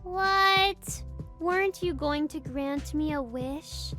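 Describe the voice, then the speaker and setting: A woman voicing an excitable, bashful young anime girlfriend who whines and squeals in an animated style. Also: sits high, slow, faint music behind, exaggerated voice. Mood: bashful, pleading. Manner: squealing, whiny, bashful exclamations. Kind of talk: anime-style character voice acting.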